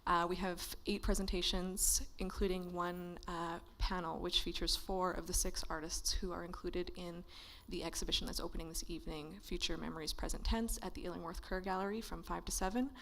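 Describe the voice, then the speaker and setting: A woman giving quick, slightly hesitant opening remarks and thanks as the host of a symposium in a lecture hall. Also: lowish voice, slight background noise. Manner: quick, hesitant, flat delivery. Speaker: event organizer and host.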